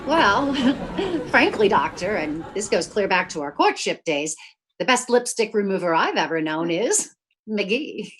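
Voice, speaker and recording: A woman playing a wry, affectionate wife who gently needles her husband in old-time radio comedy. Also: medium pitch, very animated, measured pace, slight background noise, low-quality recording. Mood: playful. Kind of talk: classic 1940s radio comedy acting.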